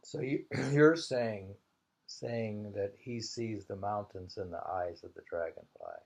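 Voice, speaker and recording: A man reading haiku slowly and commenting on it casually, in an unhurried, musing way. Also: fairly low pitch, sing-song, vocal fry, darkish tone. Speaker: reader of a haiku anthology. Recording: dull lo-fi home recording.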